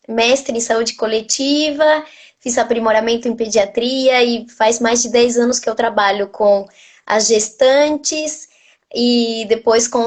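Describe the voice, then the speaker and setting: A woman from Argentina with an Argentine Spanish accent, a native Spanish speaker and nutritionist, talking on a video call with frequent restarts. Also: on the high side. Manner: warm, many hesitations and restarts. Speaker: nutritionist guest. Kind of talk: live online interview.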